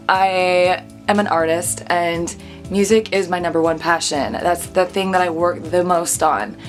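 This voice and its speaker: A woman vlogger chatting casually to her viewers in an upbeat, gushing manner. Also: on the low side. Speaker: vlog host and aspiring singer. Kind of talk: casual chat to camera.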